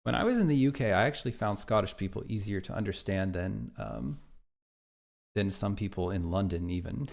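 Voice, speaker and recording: A man's voice: on the low side, lively intonation, a little fast, vocal fry, darkish tone. A man streaming his game development live, chatting casually, thinking aloud and reacting to viewer chat.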